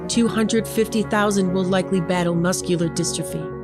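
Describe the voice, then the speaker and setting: A woman's voice: fairly low pitch, steady tone, a little fast. A woman reading a scripted public service radio advertisement. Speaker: advertisement announcer. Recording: produced spot over music bed. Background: music.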